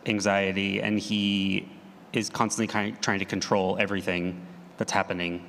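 A man's voice: sits low, level tone, moderate pace, vocal fry, a bit bright. A man giving a thoughtful answer as a writer on a panel. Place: large reverberant hall at a live panel. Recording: echoey hall reverb.

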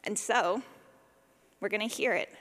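A woman's voice: mid-range voice, unhurried, a bit bright, big-room sound. A woman giving an informal talk as a sound designer, chatty, playful and self-deprecating.

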